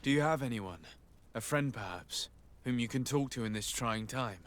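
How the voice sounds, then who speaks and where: An actor playing a calm, authoritative young nobleman and diplomat in a video game. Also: mid-range voice, normal speed, lightly acted. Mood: gentle. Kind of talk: video game cutscene acting. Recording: clear background ambience noise.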